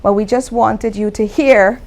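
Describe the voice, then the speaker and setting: Slow-paced woman hosting a ceremony. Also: a little high, steady tone, a little mellow. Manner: measured, deliberate. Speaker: mistress of ceremonies, university vice-chancellor. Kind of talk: formal ceremony introduction.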